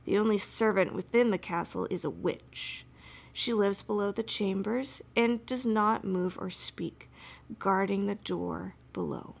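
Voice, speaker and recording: Female podcast co-host, casual and giggly, enthusiastic fan chat, some vocal fry. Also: mid-range voice, a little slow. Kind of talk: casual fan discussion with recap.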